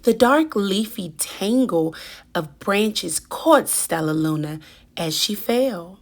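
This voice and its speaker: Slow, expressive woman reading a storybook. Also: medium pitch. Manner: deliberate, dramatic emphasis for young children. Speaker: children's story reader. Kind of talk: expressive picture-book read-aloud.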